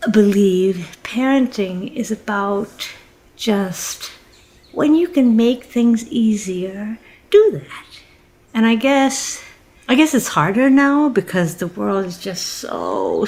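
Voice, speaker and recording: A woman playing an earnest, anxious single mom in a naturalistic comedic audio play, halting and repeating herself as she hedges. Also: mid-range voice, darkish tone. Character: earnest, anxious single mom, politely uneasy, protective of daughter.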